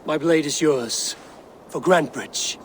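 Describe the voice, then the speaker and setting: A man's voice: a little high, unhurried, natural voice. An actor plays a steadfast Viking warrior in a game drama. His voice sits a bit above average and is firm, grim and sparing with words, with faint background noise. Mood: grim, loyal. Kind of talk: dramatic video game acting.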